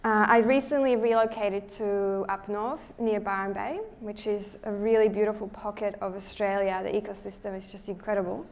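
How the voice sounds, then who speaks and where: Young woman, Slovak accent, mid-pitched voice, friendly lecture, restarts as she thinks, hall. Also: fairly even intonation, normal speed, few pauses, darkish tone. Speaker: guest speaker, underwater photographer. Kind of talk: presentation to a live audience. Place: lecture hall at a photo show.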